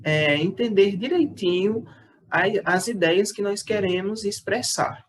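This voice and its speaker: Patient male teacher explaining to kids. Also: high voice. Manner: patient and explanatory, slows down to read out example sentences; checks in often with the class with "né?", "ó" and "perceberam?". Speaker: school teacher. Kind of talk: recorded online lesson for sixth graders.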